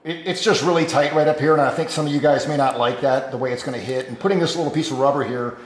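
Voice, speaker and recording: A man co-hosting a casual how-to vlog, explaining a fitting problem in a measured, detailed way. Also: mid-range voice, slight background noise. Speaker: co-host helping with the install. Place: garage.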